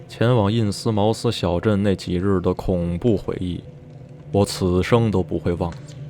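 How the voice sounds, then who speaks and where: A man playing an uneasy survivor of a sinister town, recounting dreadful memories in measured, literary Mandarin for a radio drama. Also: on the low side, dark tone, close to an everyday voice. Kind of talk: radio drama acting, narrating in interview. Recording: music behind the voice.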